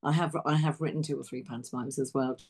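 A woman's voice: very deep voice, fairly even intonation, quick pace, a little mellow, breathy edge. A woman with an English accent chatting casually as an interview guest, effusive and emphatic, often hesitating mid-sentence. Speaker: interview guest, theatre practitioner. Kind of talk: casual chat.

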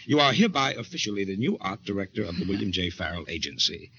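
An actor playing an ardent agency boss and sweetheart in a theatrical 1940s radio drama. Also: male voice, on the low side, sing-song, quick pace, a bit bright, breathy edge, heavily acted. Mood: playfully grand. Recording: dull 1940s radio recording.

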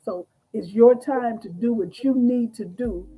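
A woman's voice: a little high, unhurried, dark tone, soft background music, rough recording. A woman in her sixties, a guest author and business coach, giving a fervent, rallying motivational talk. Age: 60s. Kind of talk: motivational speaking.

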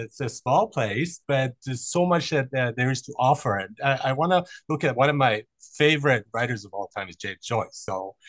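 A man's voice: medium pitch, a bit bright, no echo. A man hosting an interview over a video call, friendly and a bit rambling, repeating words as he goes. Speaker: interview host. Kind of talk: conversational interview.

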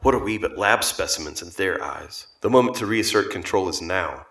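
An actor playing a solemn, accusatory online agitator in a radio play, oratorical and rabble-rousing, with a reverberant effect on the voice. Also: male voice, low-pitched, lively intonation, moderate pace, a bit bright. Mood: ominous indignation. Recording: echoing, reverberant, distant sound.

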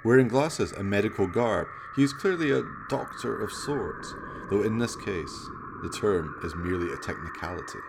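A man's voice: lowish voice, lively intonation, normal speed, creaky, background noise. A man giving scripted narration as the storyteller of a radio play.

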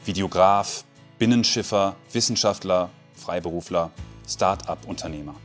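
A man reading a scripted podcast introduction in a measured cadence. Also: sits low. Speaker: podcast host. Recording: faint guitar music behind.

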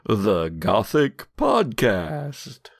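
A man's voice: fairly low pitch, huge pitch swings, very slow, husky, no echo, studio quality. A man acting as host and game master, narrating a tabletop horror game podcast.

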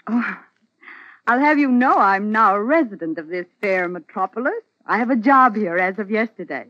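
An actress playing an eager, friendly former sweetheart in an old-time radio drama. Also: female voice, fairly low pitch, animated, moderate pace, no echo, close to an everyday voice. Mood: bubbly. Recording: muffled vintage radio recording.

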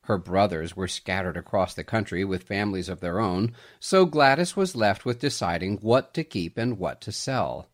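A man reading a scripted Christmas story aloud in an expressive storytelling manner. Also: low voice, moderate pace, hardly any pauses. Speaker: storyteller, podcast host.

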